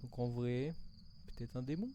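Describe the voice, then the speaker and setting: A man's voice: mid-range voice, moderate pace. A man chatting casually and joking with teammates while playing a game. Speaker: gamer streamer. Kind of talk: casual gaming commentary. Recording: loud background noise.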